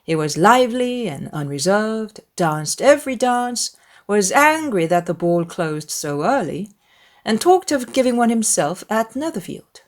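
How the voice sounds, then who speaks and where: A woman reading a dramatized literary audiobook in an expressive, measured storytelling manner. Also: mid-range voice. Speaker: audiobook narrator.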